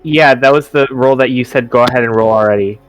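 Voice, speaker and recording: A man's voice: mid-range voice, quick pace, over music, low-quality recording. A man chatting casually as a player in a tabletop roleplaying game, answering the game master.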